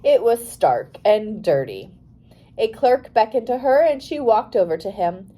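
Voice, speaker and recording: Mellow, expressive woman reading a story. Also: a little high, unhurried. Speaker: audiobook reader. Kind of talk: scripted narration of a biography for young readers.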